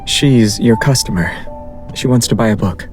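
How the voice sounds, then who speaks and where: Casting: male actor for soft-spoken young man in a romance game, lowish pitch, unhurried, gently teasing, music underneath. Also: close to an everyday voice. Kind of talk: intimate game voice acting.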